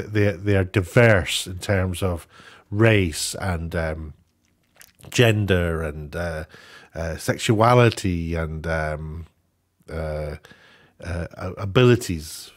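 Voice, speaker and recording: A man's voice: low-pitched, slow pace. A man giving casual, rambling opinion commentary as an online video host, often posing rhetorical questions, slightly sniffly.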